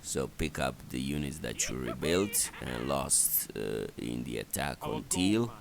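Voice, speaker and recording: A man with a very deep, creaky voice narrates a game walkthrough. He speaks slowly and haltingly, laying out instructions one step at a time. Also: expressive, a crowd in the background. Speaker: video game walkthrough commentator. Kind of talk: instructional strategy game commentary. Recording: clearly noisy voice recording.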